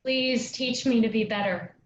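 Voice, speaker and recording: A woman's voice: on the high side, measured pace, natural voice. A woman playing an earnest, reverent young girl learning from forest spirits in a staged play reading. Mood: humble, grateful. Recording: roomy, dull, noisy lo-fi recording.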